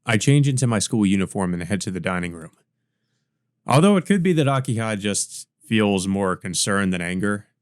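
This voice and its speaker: Low-voiced man reading a visual novel. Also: animated, normal speed, clean tone, no room sound, studio quality. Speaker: let's play host and reader. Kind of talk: reading a visual novel aloud with casual asides.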